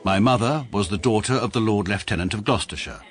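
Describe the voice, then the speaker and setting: A man's voice: lowish voice, natural voice. An actor with an English accent playing a precise, pompous barrister who narrates his own story in a radio drama. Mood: dry. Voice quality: measured, dry, pedantic. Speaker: narrator, adult lead character.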